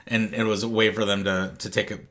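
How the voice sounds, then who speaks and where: A man's voice: lowish voice, little pitch movement, a little fast, sharp tone. A man co-hosting a podcast, easygoing, restarting phrases as he talks. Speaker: podcast co-host. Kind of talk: casual podcast talk.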